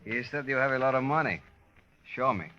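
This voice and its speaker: An actor playing a taunting, mockingly courteous Cuban go-between with a Spanish accent in a radio crime drama. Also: male voice, mid-range voice, a little fast, bright, forceful tone, close to an everyday voice. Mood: sly. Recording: muffled old radio recording.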